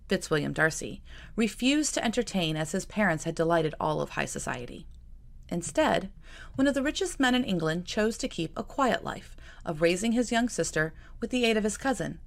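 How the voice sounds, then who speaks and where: A woman author reading her own novel aloud in a calm, measured storytelling manner. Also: fairly low pitch, vocal fry.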